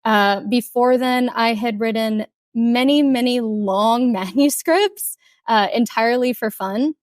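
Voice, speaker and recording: A young woman from Kuwait, an author talking casually in an interview, chatty with many fillers and soft laughs. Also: fairly high pitch, measured pace, no room sound, clean studio sound. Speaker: author guest.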